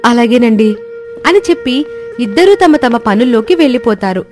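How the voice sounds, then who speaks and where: Woman narrator, high voice, scripted storytelling, moral tale, music bed behind. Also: animated, moderate pace.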